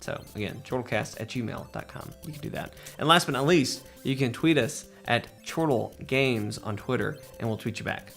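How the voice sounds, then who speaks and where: A man's voice: mid-range voice, animated, normal speed, gravelly, few pauses, a bit bright, faint music behind. A man hosting a casual podcast with a jokey, playful way of talking. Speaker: podcast host.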